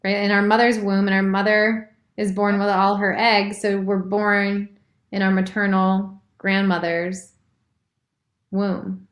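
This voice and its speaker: A woman's voice: mid-range voice, level tone, a little slow. A woman guest in a casual conversation. She thinks aloud in a reflective way and uses many fillers. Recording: roomy, echoey sound.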